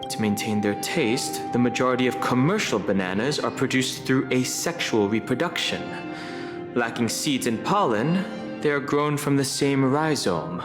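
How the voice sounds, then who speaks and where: A man's voice: mid-range voice, animated, moderate pace, music in the background, lightly acted. An actor playing a serious young male investigator in a video game, composed and matter-of-fact as he explains.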